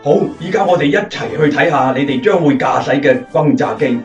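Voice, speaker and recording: A man's voice: on the high side, a little fast, recorded from a distance, lightly acted. A man playing a formal, approving commanding officer in a Cantonese radio drama. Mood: formal, pleased. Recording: off-mic, dull sound, faint music.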